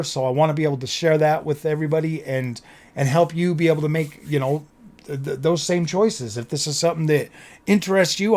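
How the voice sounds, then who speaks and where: A man talking casually to his viewers as a gaming content creator, slightly hoarse, rambling and repeating phrases in an earnest, enthusiastic way. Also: on the high side, a little mellow. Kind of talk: casual vlog talk to viewers.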